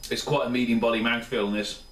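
A man's voice: mid-range voice, level tone, fast talker, fairly bright tone, recorded from a distance, a little hiss or hum. A tired man in his thirties, rambling casually and enthusiastically through a review in his small home kitchen. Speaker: beer reviewer. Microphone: camera mic at a few steps. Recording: roomy, off-mic home camera sound.

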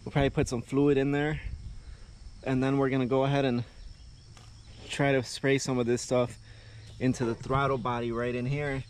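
A man's voice: medium pitch, little pitch movement, normal speed, long pauses, darkish tone, smooth. A man narrating a car repair step by step as he works outdoors in a yard, filmed on a head-mounted camera. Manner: explains each step as he works. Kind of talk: casual hands-on narration. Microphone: head-mounted action camera.

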